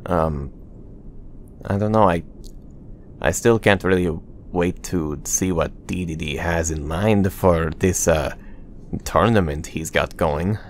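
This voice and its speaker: A man playing a reserved warrior at bedtime in an ASMR roleplay, speaking in a hesitant, halting, awkward way. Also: sits low, slight background noise. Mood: drowsy, casual.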